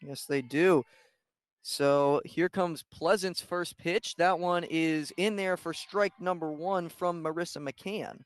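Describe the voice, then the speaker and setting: High-pitched man calling softball play-by-play. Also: steady tone, slow pace, no echo. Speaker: play-by-play radio commentator. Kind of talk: live softball play-by-play commentary. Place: live at a softball game.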